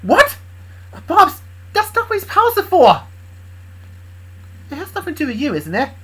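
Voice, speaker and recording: A woman voicing a bossy young woman who worries over others and snaps into sharp scolding. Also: sits high, very animated, rapid-fire, voice pushed up. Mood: worried. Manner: pleading, then sharp and shouty. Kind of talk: improvised fan story voicing.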